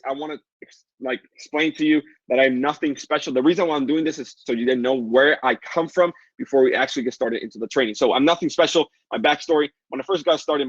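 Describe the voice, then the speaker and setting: A man's voice: mid-range voice, dry recording. A young man with a Dominican Spanish accent, a native Spanish speaker, coaching a live webinar in a pushy, hyped sales patter full of fillers. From Dominican Republic. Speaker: online marketing coach. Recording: dull lo-fi dry sound.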